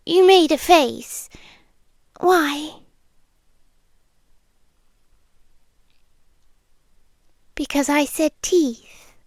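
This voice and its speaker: Slow, high-voiced British vampire girlfriend, played by a woman. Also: dry recording, clean studio sound, full character voice. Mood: teasing, probing. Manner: soft, deliberate, lingering pauses, slipping into whispers. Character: possessive vampire girlfriend, gentle, coaxing, quietly menacing. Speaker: ASMR roleplay performer. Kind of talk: ASMR roleplay, slow and intimate, often whispered. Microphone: close microphone, dry.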